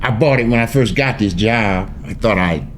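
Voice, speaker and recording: A man's voice: on the low side, moderate pace, a little hiss or hum, lightly acted. Male actor as friendly everyman on the road, generous and easygoing, natural table-read delivery. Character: generous, easygoing traveling man giving away a camera. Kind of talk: table read of a film script.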